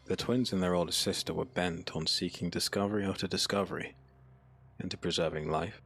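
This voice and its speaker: A man narrating a dark fantasy audiobook in a solemn, measured, dramatic manner. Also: deep voice, fairly even intonation, breathy edge.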